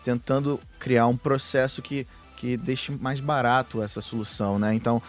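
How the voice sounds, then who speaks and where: Homem explicando com muitas hesitações. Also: male voice, medium pitch, steady tone, moderate pace, faint music behind. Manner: explains at length with many fillers and restarts. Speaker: podcast co-host. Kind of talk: casual tech podcast chat.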